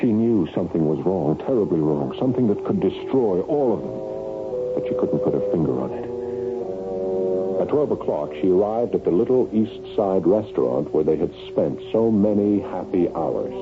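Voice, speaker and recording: A man's voice: low-pitched, lively intonation, moderate pace, narrow, tinny audio. An actor playing a meek, nervous, suspicious husband in a radio drama, telling his own story and hesitant in speech. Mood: suspicious, brooding. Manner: stammering, hesitant when addressed. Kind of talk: old-time radio drama acting, first-person narration. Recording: band-limited radio broadcast with music behind.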